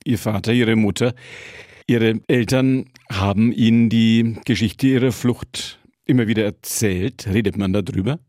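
A man interviewing a guest, asking direct, brisk questions. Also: fairly low pitch, a little mellow, dry recording, studio quality.

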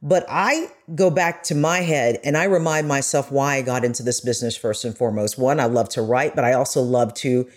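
A woman's voice: extremely low pitch, fairly quick, few pauses. Earnest middle-aged woman in interview. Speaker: guest, broadcast journalist. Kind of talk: conversational interview.

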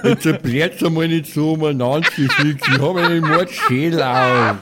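A man's voice: fairly high pitch, unhurried, very noisy, lightly acted. A man with a Bavarian accent voicing a surly, dismissive farm-boy pupil in an improvised radio play. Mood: surly, grumpy. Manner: grumbling, dismissive.

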